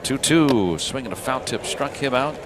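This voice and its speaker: A man calling baseball play-by-play on live radio in unhurried, clipped phrases. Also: mid-range voice, animated. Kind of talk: live radio sports commentary. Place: baseball ballpark broadcast booth. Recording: clear crowd and ambient noise. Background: outdoor stadium ambience.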